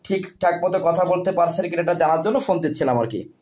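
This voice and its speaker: A man with a Bangladeshi accent giving unscripted sales talk as a seller, explaining haltingly and repeating himself. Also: a little high, darkish tone. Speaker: seller presenting products on video.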